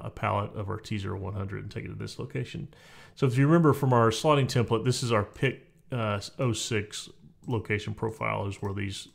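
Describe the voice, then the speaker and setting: A man in his forties giving a relaxed, conversational software walkthrough from a home office, with frequent fillers and restarts. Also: lowish voice, gravelly. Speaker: software tutorial presenter. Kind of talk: casual screen-recorded software walkthrough.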